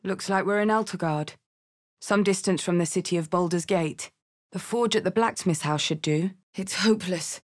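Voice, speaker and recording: An actress voicing a tough, gruff, soulful female warrior adventurer in a fantasy video game, speaking in short, blunt lines. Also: lowish voice, moderate pace, close to an everyday voice. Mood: watchful, then somber. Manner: blunt, short clipped remarks and incantations. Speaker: video game character actor.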